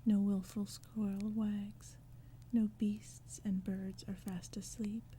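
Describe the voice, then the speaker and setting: Very soft, dark whispering woman. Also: mid-range voice, little pitch movement. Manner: gentle, measured, hushed storytelling. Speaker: audiobook reader. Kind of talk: ASMR whispered poetry reading. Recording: faint ambient crackling fire and forest sounds. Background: crackling fire, forest ambience.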